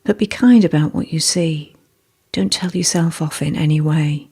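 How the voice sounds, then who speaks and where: A meditation teacher narrating a guided meditation in a slow, measured, gently instructive way, with a husky, breathy voice. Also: medium pitch. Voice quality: husky, breathy, with creaky fry.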